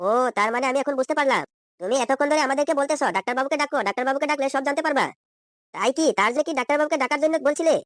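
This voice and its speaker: A man's voice: extremely high pitch, rapid-fire, fairly bright tone, dry recording, clean studio sound. A man voicing an exasperated, insistent husband in a comedy cartoon. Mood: questioning. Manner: insistent, questioning, reproachful. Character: exasperated, nagging cartoon husband questioning his wife.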